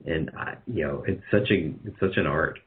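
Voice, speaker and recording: Male interview guest, very low voice, casual and halting, heard over dull narrow-band call audio. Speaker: guest, radio drama producer.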